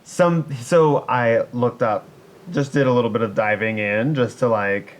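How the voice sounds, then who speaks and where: Male podcast co-host, average pitch, loose and conversational, faint rumbling noise on the recording. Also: a little slow. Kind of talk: casual banter. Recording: steady background noise with low rumble.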